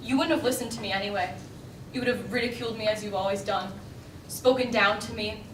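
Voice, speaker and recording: A woman's voice: mid-range voice, brisk, background noise, lightly acted. A young woman acting in a stage play as Marie, a partner worn down by belittling, speaking with bitter emotion, heard from far off in an echoing hall. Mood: resentful. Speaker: student actor. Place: school stage. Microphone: distant audience camera. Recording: echoey stage recorded from far off.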